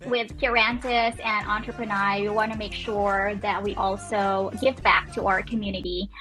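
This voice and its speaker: A woman's voice: medium pitch, flat delivery, moderate pace, pushed and bright, soft background music, rough recording. A woman in her thirties from the Philippines, a nurse and business owner, talking as a guest in a casual interview with many fillers and restarts.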